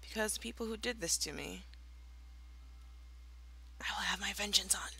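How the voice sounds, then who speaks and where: Very bright, playfully theatrical young woman. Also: medium pitch, normal speed, gravelly, slightly rough. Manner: playful, mock-villainous, theatrical. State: slightly raspy, just out of bed. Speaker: Minecraft let's player. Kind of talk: casual gameplay commentary.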